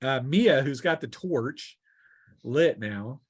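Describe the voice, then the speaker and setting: A man's voice: medium pitch, animated, takes it slowly, husky, no echo. A jovial man acting as game master, casually narrating and bantering through a tabletop role-playing session. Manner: jovial, laughs often.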